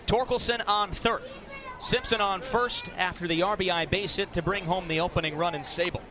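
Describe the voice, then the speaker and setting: A young man calling live sports play-by-play for radio in crisp, clipped announcer phrasing. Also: high-pitched, normal speed, a crowd in the background. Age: young adult. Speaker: play-by-play broadcaster. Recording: live sports broadcast with venue background noise.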